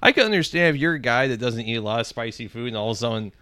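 A man's voice: mid-range voice, expressive, racing, pushed and bright, no echo, clean studio sound. A young man co-hosting a podcast, chatting casually in a rambling, profane, jokey way. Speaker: podcast co-host. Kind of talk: casual chat.

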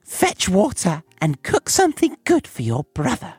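A man's voice: high-pitched, huge pitch swings, unhurried, darkish tone, husky, no echo, pitched above the performer's own voice, exaggerated voice. A man voicing a cruel, greedy, impatient old witch woman who scolds and gives orders in a storybook tale. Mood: harsh, commanding. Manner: scolding, commanding.

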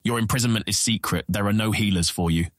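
An actor playing a courteous, watchful prison guard in a fantasy audiobook, speaking in formal, measured, firm phrases. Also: male voice, on the low side, dry recording, clean studio sound, close to an everyday voice. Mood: firm, warning. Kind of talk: full-cast fantasy audiobook acting.

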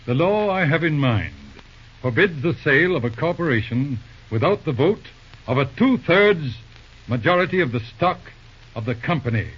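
An actor playing a shrewd, formal judge and lawyer, speaking deliberately and politely but pointedly in an old-time radio drama. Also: male voice, a little high, lively intonation, husky. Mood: insistent, formal. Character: shrewd, courteous lawyer judge, calmly cornering his opponent. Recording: muffled old radio recording.

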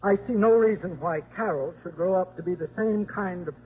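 An actor playing a smug, disdainful husband in a 1950s radio drama. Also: male voice, high voice, brisk, natural voice. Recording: tinny, noisy old radio recording.

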